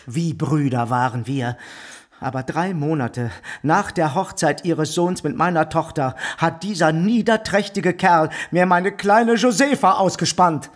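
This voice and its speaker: A fat, vain bourgeois lecher, acted by a man for a radio play, speaks in a highish voice at a slow, deliberate pace. He is pompous and scheming, pressing his suit with theatrical insistence. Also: natural voice. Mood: aggrieved, boastful. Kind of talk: German radio drama acting.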